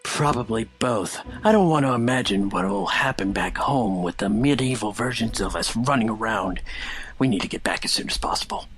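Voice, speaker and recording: A man's voice: fairly low pitch, moderate pace, a bit bright, faint music behind, close to an everyday voice. A man playing a stiff, brooding cartoon hedgehog antihero, stern and dry, in a fan fiction reading. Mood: serious.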